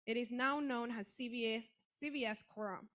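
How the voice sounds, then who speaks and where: A woman hosting an event, reading a formal introduction of the guest speaker in a brisk manner. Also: fairly high pitch, fairly bright tone. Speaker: event host.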